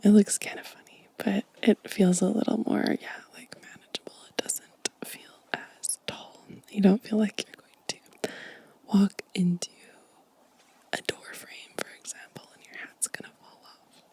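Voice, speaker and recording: A woman speaking in a soft, breathy whisper, gently and intimately, as in an ASMR show and tell close to the microphone. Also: medium pitch, no echo. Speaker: host of an ASMR channel.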